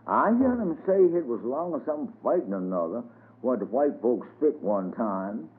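A man's voice: mid-range voice, sing-song, moderate pace, voice pushed up, lightly acted. A man voicing an older woman servant who runs things firmly, on a tinny radio broadcast. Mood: musing. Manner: firm, bossy dialect speech. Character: elderly woman servant, commanding and firm. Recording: tinny phone-like radio sound.

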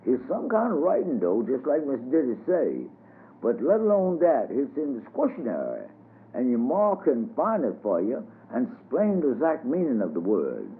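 A man's voice: mid-range voice, wildly swooping pitch, moderate pace, pitched above the performer's own voice. A man voicing a good-natured old wagon driver who fumbles big words, on a tinny radio broadcast. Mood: folksy. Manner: folksy, mixes up big words. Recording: tinny phone-like radio sound.

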